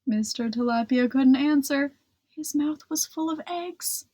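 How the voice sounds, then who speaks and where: A woman reading a children's picture book aloud, gentle and expressive, drawing out words for suspense. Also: high voice, measured pace, dry recording. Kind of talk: expressive read-aloud storybook narration.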